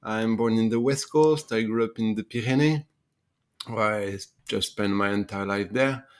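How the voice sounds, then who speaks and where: A young man with a French accent, a guest in a casual interview, pausing to search for words in a second language. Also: on the low side, smooth. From France. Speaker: guest adventurer.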